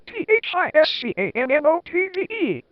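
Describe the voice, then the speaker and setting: A man voicing a blustering male official who shouts in fury, spelling every word out letter by letter. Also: sits high, expressive, slow, no room sound, lo-fi sound. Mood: furious.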